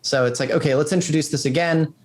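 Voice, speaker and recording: A man's voice: on the high side, fairly even intonation, quick pace. A man being interviewed as a game developer, explaining in loose, informal, exploratory phrases peppered with "like". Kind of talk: conversational interview answers.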